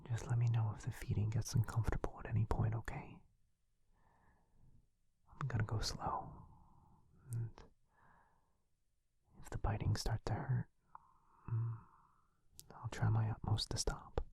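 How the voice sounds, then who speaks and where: A man playing a tender, seductive vampire lover in an ASMR roleplay, speaking slowly in a breathy, husky, intimate whisper, close to the microphone. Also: fairly low pitch, monotone, dry recording, exaggerated voice. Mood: gentle, reassuring. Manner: slow, intimate, gentle, reassuring. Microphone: very close microphone, dry.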